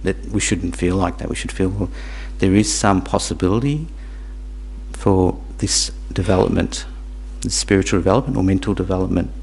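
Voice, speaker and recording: Low-voiced man giving a dharma talk. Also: creaky, darkish tone, husky, rough recording. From Tanzania. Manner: halting, repeats words, asks the audience questions. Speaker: Buddhist teacher. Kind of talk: dharma talk to a small group.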